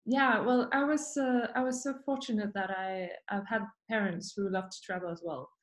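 A woman from Denmark, a podcast guest, speaking thoughtfully with many pauses and fillers. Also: mid-range voice, steady tone, reverberant. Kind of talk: podcast interview.

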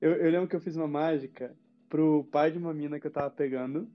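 A young Brazilian man telling a relaxed, slangy anecdote in casual chat. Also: a little high, fast, dull audio, faint music behind. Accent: Brazilian Portuguese. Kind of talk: casual storytelling.

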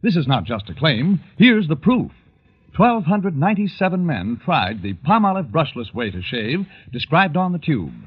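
A man's voice: fairly high pitch, very animated, moderate pace, breathy edge. An American man delivering a scripted 1940s radio advertisement as a commercial announcer. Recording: tinny narrow-band old radio.

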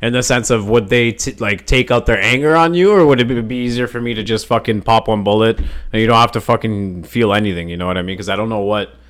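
Dude chatting on a podcast, swears constantly, jumps into loud acted-out bits. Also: male voice, on the low side, quick pace. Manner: animated, swears a lot, acts out scenes in loud put-on voices. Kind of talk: casual chat, hypothetical storytelling.

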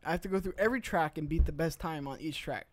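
A man's voice: a little high, fast talker, a little mellow, no echo. A man co-hosting a casual gaming podcast, joking and swearing with friends. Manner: profane, joking banter. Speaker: podcast co-host.

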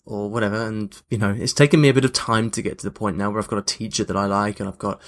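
A man's voice: lowish voice, steady tone, fast. A man hosting a podcast in a casual, chatty monologue, reflective and emphatic on key points. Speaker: podcast host.

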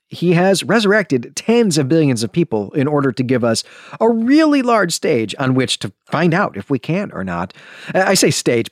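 Male podcast host, mid-pitched, recorded dry and close; explanatory, emphatic book-analysis delivery. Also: no echo. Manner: emphatic, explanatory, often checks in with "right?". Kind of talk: book analysis, semi-scripted commentary.

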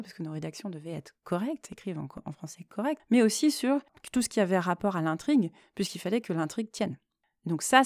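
A woman talking reflectively on her own as a podcast host, thoughtful and hesitant, often pausing mid-phrase. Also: fairly low pitch, a little mellow, no echo, clean studio sound. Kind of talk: reflective solo podcast talk.

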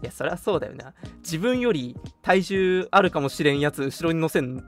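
Young man, casual livestream host, very lively intonation, playful retorts, soft background music. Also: a little high, a little fast. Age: young adult. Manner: playful, mock-indignant retorts to teasing. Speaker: radio show host. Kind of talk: casual chat on a livestream radio show.

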